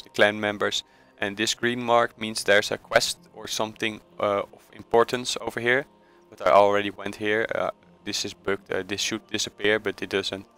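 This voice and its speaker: A man's voice: lowish voice, pushed and bright, clear, resonant tone. A man from the Netherlands with a Dutch accent, casually talking through a game tutorial with many hesitant fillers and self-corrections. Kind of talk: casual screen-recorded tutorial voice-over.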